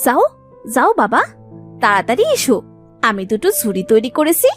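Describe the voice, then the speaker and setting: A woman plays a young village daughter in a cartoon fairy tale, caring and a little bossy. Her high, extremely animated voice coaxes and fondly scolds over faint music. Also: normal speed. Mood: fondly scolding. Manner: coaxing, affectionate scolding.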